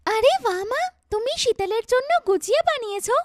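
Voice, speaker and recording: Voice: very high-pitched, lively intonation, a little fast, dry recording, clean studio sound. A performer voicing an earnest, chatty young schoolgirl in a children's cartoon, with exaggerated, emphatic childlike delivery. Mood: cheerful.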